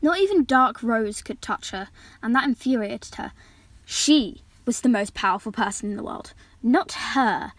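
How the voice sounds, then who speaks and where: High-voiced young woman reading, dry close sound. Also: expressive, a little slow, no echo. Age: teenager or young adult, still at school. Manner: informal, stumbles and self-corrects over words. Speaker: amateur audiobook reader, channel host. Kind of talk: reading a story aloud with casual asides.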